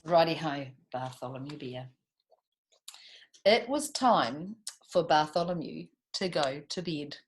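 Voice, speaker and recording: Low-pitched woman reading to children. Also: slow, a little hiss or hum. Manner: animated, questioning, speaking directly to young listeners. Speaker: children's storytime reader and host. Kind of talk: read-aloud storytime with chatty asides to children.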